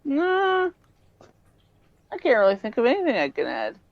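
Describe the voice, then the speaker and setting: A woman's voice: high-pitched, wildly swooping pitch, normal speed, dry recording. A woman co-hosting a casual podcast, mostly giving short, easygoing replies. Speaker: podcast co-host. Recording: muffled, lo-fi, dry.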